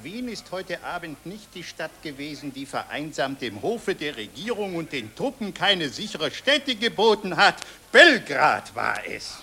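An excitable, gullible newspaper reader in a 1940s radio play. His voice is bright and rather high, and he stresses his points emphatically. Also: unhurried, hardly any pauses, full character voice. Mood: self-important. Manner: emphatic, circular reasoning. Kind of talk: 1940s German radio drama acting.

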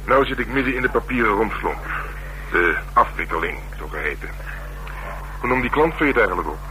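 A man's voice: fairly low pitch, animated, a little fast, pushed and bright, rough recording. An actor playing a world-weary, teasing male police detective in a radio crime drama, with a Netherlands Dutch accent and a dry, needling manner. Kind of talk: naturalistic radio drama dialogue. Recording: hissy, humming old radio recording.

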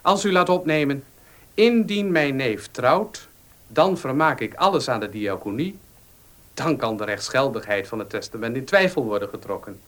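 A man's voice: mid-range voice, expressive, a bit bright, lightly acted. Casting: actor for careful, hedging male lawyer in radio drama, formal phrasing, mid-range voice. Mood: cautious, explanatory. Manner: hesitant, deliberate, official. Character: cautious, formal, hedging country notary. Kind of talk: Dutch radio play acting.

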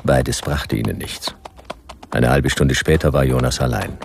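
An actor playing a laconic, soft-hearted detective hero in a radio drama, dry and understated in dialogue and narration. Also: male voice, a bass voice, a little slow, creaky, slight background noise, exaggerated voice. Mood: wry narrating.

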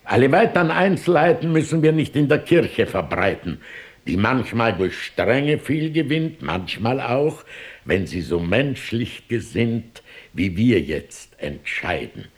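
An actor playing a pompous, wily old Pope in a rhymed radio play, speaking theatrically and solemnly. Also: male voice, mid-range voice, sing-song, unhurried. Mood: solemn, decisive. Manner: theatrical, solemn, swinging from outbursts to hushed asides.

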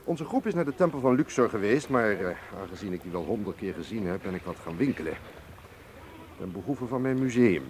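An actor in a radio play voicing a suave, gallant but guarded Russian museum man, speaking in an urbane, teasing, deliberate way. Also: lowish voice, animated, darkish tone, lightly acted. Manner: urbane, teasing, deliberate, with small questioning hums. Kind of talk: radio drama acting. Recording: noisy radio play recording.

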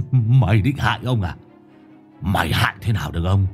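A man's voice: mid-range voice, vocal fry, pitched above the performer's own voice, exaggerated voice. A man voicing a crazed middle-aged husband who snarls and hisses his threats. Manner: hissing, snarling, shouting threats. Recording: soft background music.

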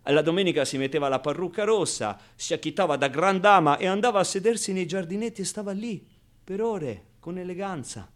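An actor playing a naive, wistful, streetwise ten-year-old orphan in an expressive, halting radio monologue. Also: male voice, sits high, natural voice. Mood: wry, confiding. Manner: expressive, halting, conversational storytelling with rhetorical tags. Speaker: solo actor.

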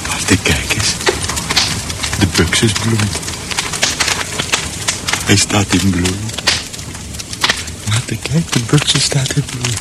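A man's voice: lowish voice, animated, very noisy. An actor in a Dutch radio drama playing a confused, deranged man who mutters, halts and repeats himself. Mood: desperate tenderness. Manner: muttering, repetitive, halting. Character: deranged, confused man returning to his ransacked house.

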